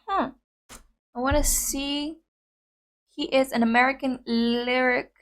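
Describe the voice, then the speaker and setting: A young woman whose first language is Greek, hosting a reaction video in an excitable, chatty way full of fillers. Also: on the high side. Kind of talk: casual reaction chat.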